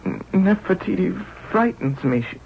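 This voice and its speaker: Anxious high-voiced young prince. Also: male voice, expressive, normal speed, creaky. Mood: fearful, pleading. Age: young adult. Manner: hesitant, plaintive, theatrical. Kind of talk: 1970s radio drama acting. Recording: muffled, noisy old radio recording.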